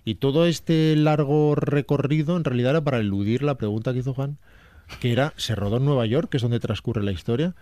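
A man, giving detailed, measured film commentary on a radio chat show. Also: medium pitch, warm tone.

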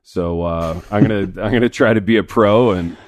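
Raspy guy hosting a sports podcast, low voice, chill and chummy, dry studio. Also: moderate pace, no room sound. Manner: laid-back, chummy, says dude a lot. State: raspy this morning. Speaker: podcast host. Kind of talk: casual sports chat.

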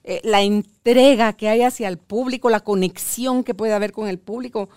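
A woman's voice: mid-range voice, moderate pace, a little mellow, smooth, no room sound. A woman hosting a conversational podcast interview, speaking as the interviewer.

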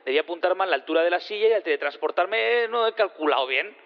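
Awkward male scientist witness, deadpan, halting, higher voice, audio comedy, slightly dull sound. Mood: awkward, sheepish.

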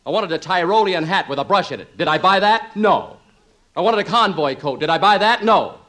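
A man's voice: sits high, animated, a little fast, a bit bright. An actor playing a flustered, stubborn, put-upon husband and father with an American accent, stammering and self-pitying in 1950s radio comedy. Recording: noisy old 1950s radio recording.

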